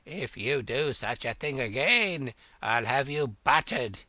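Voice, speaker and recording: A man voicing a fussy, excitable storybook king who speaks in slow, emphatic phrases. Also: mid-range voice, no room sound. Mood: indignant.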